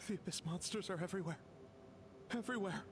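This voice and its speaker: High-strung desperate young warrior. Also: male voice, fast, very noisy. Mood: despairing. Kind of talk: anime game voice acting.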